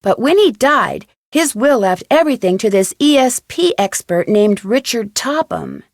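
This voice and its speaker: A woman's voice: fairly high pitch, very animated, moderate pace, no echo, studio quality. A woman voicing an inquisitive, determined teenage American girl detective in a video game, earnest and conversational. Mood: earnest, intrigued.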